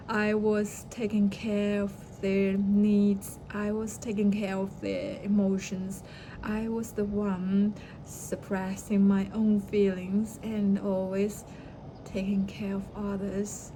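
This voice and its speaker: A woman's voice: medium pitch, creaky. A young woman, a native Chinese speaker, talking through a personal reflective monologue with many fillers and restarts. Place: outdoors by the sea. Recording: noisy outdoor ambience.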